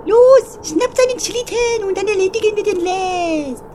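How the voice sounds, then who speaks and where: A man's voice: very high voice, lively intonation, measured pace, noisy background. A man voicing a bossy little villain who barks orders in a comic Christmas audio drama. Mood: triumphant.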